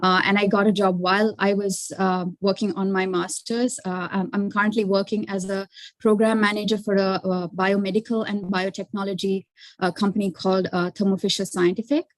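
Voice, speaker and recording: Halting young woman from Sri Lanka. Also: medium pitch, little pitch movement, no room sound, poor audio. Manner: halting, frequent uh fillers. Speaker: alumna panelist. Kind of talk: online panel introduction.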